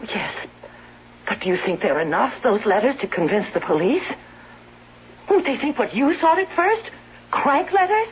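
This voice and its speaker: An actress playing a frightened, ailing wife, anxious and pleading, in 1970s radio drama style on a muffled, hissy broadcast. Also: female voice, a little high, animated, moderate pace, long pauses, slightly rough. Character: ailing, frightened wife clinging to her old nurse.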